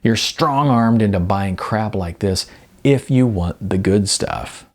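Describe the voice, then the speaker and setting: A man's voice: lowish voice, slow pace. A man voicing a young video store clerk who talks in an offhand, chatty, dismissive way. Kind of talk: audiobook character voice.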